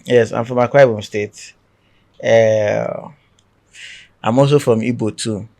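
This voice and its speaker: A man from Nigeria with a Nigerian accent, a guest in a podcast interview who talks in a chatty, relaxed storytelling way. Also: medium pitch, measured pace, creaky. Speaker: guest content creator. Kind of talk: casual conversational interview. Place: podcast studio.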